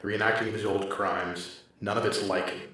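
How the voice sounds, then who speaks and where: A man's voice: on the low side, fairly even intonation, a little fast, sharp tone, breathy edge. An actor playing a grim male vigilante detective in a radio drama, speaking in stern, clipped sentences. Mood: terse, guarded.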